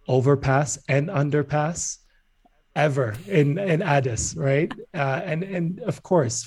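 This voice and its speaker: A man's voice: medium pitch, a little mellow. A man from Ethiopia speaking as a startup founder and guest in a conversational podcast interview.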